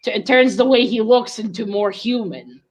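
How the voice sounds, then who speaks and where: A woman's voice: a little high, normal speed. A woman co-hosting a read-along in casual discussion, thinking aloud and speculating with some hesitation. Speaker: co-host of a book read-along.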